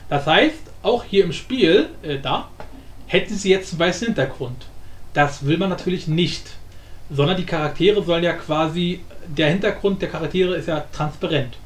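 A man hosting a casual software tutorial in a colloquial, mildly crude, joking way. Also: a little high, moderate pace. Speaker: tutorial host.

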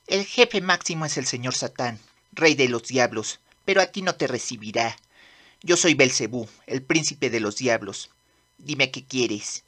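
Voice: medium pitch, lively intonation, unhurried, a bit bright. A performer voicing a cocky, bratty young demon prince in a manga dub, with a swaggering, curt manner. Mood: haughty. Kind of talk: animated manga dub acting.

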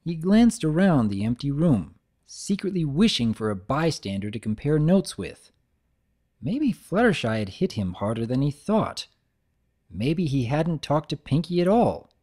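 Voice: medium pitch, very animated, unhurried, mellow. A narrator giving a dramatic reading of a fan fiction story.

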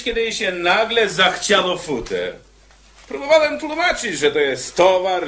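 An actor in a radio play playing a cocky condemned prisoner who chats wryly and casually about death. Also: male voice, high-pitched, fairly bright tone, full character voice. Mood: defensive, boastful. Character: cocky, cheerful condemned male prisoner, a killer.

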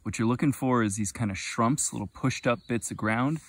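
A man's voice: mid-range voice, normal speed, no echo. A young man giving casual, enthusiastic how-to commentary as he forages outdoors in a forest. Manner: enthusiastic, informal. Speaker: mushroom forager and video host.

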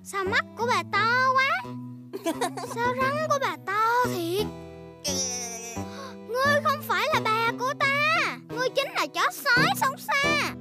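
A woman's voice: extremely high pitch, animated, unhurried, long pauses, over music. A woman voicing an eager, bossy, playful little girl piglet in a dubbed children's cartoon. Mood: earnest, performing.

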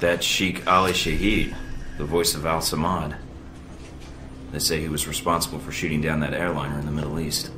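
An actor playing a cocky, impatient young secret agent in a video game, speaking in a terse, dry, smug way. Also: male voice, very deep voice, little pitch movement, moderate pace, background noise. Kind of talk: video game cutscene acting. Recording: roomy echo with music behind.

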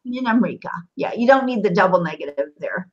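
A woman's voice: medium pitch, lively intonation, quick pace. A woman teaching a language one-to-one online, giving short encouraging prompts and confirmations. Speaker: Arabic teacher. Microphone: online call. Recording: dull, lo-fi online call audio.

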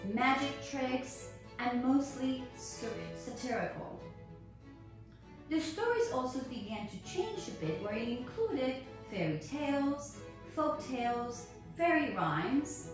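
Female instructor, deliberate educational delivery with lively intonation, very reverberant room with music behind. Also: on the high side. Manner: deliberate phrasing with frequent pauses. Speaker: ballet teacher. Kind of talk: prepared educational lecture. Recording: echoey room, distant mic, music behind.

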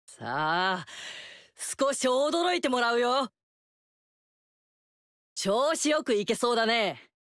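A woman's voice: a little high, very animated, slow pace, long pauses, a bit bright, no room sound, clean studio sound, heavily acted. An actress playing a fiery, confident warrior queen in a video game, with brash, teasing, tomboyish lines. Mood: proud.

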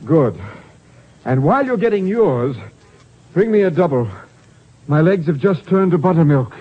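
A man's voice: on the high side, animated, normal speed, husky. An actor playing a genteel, fussy, fatherly middle-aged man in a 1950s radio drama. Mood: relieved. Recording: dull, hissy old radio recording.

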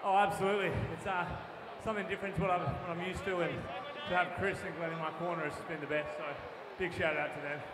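A man, out of breath after winning a boxing bout, talking quickly into a handheld mic in the ring. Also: high-pitched, little pitch movement, very noisy. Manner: quick, breathless post-fight talk. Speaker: winning boxer. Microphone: handheld mic held by interviewer. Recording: echoey hall, loud crowd.

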